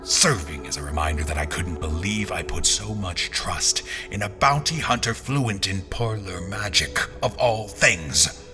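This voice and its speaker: A brooding adventurer telling his tale, super deep voice, music underneath. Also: animated, measured pace. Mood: tense, suspicious. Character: brooding, suspicious male adventurer recounting his journey. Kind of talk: scripted first-person story narration.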